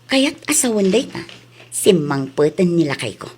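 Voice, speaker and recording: A woman's voice: on the low side, very animated, moderate pace, a little mellow, husky. A woman voice-acting a chatty, exclamatory character in a comedy drama, with a Filipino accent. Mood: scolding, exasperated.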